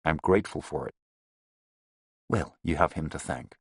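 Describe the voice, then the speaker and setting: A man voicing a stern male police inspector with a Scottish accent, speaking in a grave, measured way in a storybook reading. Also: extremely low pitch, slightly rough, no room sound, clean studio sound, heavily acted.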